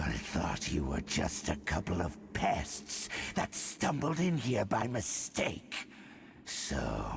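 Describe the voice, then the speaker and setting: Evil abyss monster boss, really gravelly, super slow and pompous, haughty game villain. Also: male voice, a bass voice, slight background noise, heavily acted. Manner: pompous, threatening, drawn-out delivery. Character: menacing, haughty male monster herald of the abyss. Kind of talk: video game villain acting.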